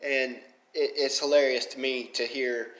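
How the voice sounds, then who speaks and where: A man's voice: mid-range voice, little pitch movement, a little slow, a bit bright, reverberant, poor audio. A man with a strong Southern Alabama accent, talking in a casual rambling monologue, full of "you know" fillers and getting fired up. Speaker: mobile game player.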